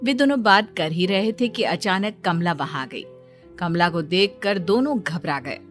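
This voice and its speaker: A woman narrating a scripted bedtime cartoon story in a calm storytelling manner. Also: lowish voice, unhurried, soft background music.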